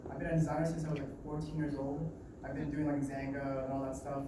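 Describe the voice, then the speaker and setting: A young man gives a talk to a live audience, quick and a little nervous, tripping over his words with plenty of fillers. His voice sits at a middle pitch. It comes through a distant mic in a very echoey office room, with steady background noise. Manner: fast, nervous, loose with fillers and restarts. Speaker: guest speaker at a design meetup.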